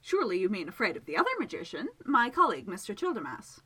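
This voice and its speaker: A woman voicing a prim, even-tempered gentleman village magician who speaks with precise, polite formality. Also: medium pitch, quick pace, breathy edge, exaggerated voice. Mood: astonished.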